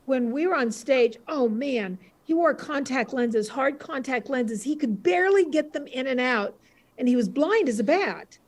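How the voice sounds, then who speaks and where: A woman's voice: sits high, lively intonation, moderate pace. An older woman, a panel guest, reminiscing casually. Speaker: interview guest.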